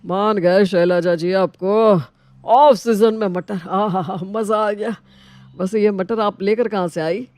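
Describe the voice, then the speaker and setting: A woman's voice: medium pitch, fairly even intonation, slow, a little mellow, dry recording, soft background music, lightly acted. A woman voicing an older, food-loving father-in-law in a family story, speaking with familiar ease. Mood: delighted.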